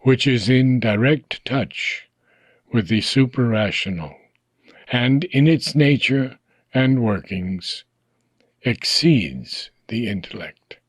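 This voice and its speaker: A man reading a philosophical text aloud in slow, deliberate phrases, often trailing off softly at the ends of lines. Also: mid-range voice, lively intonation, breathy edge, dry recording. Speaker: reader.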